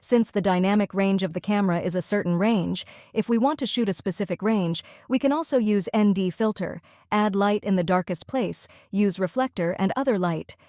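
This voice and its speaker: A woman's voice: mid-range voice, moderate pace, hardly any pauses, dark tone, no echo, studio quality. A woman student reading out a scripted explainer presentation step by step.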